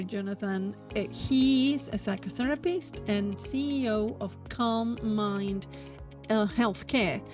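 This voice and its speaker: A woman hosting a podcast, introducing her guest and asking questions in a measured way with frequent restarts. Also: fairly high pitch. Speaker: podcast host.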